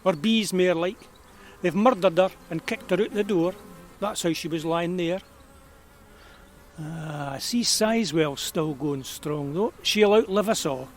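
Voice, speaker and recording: A man playing a frail, grieving old Scottish beekeeper, gruff but whimsical, musing in broad dialect in an audio drama. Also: high-pitched, unhurried, slight background noise. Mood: troubled, mournful. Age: older. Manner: musing, rambling, dialect-rich.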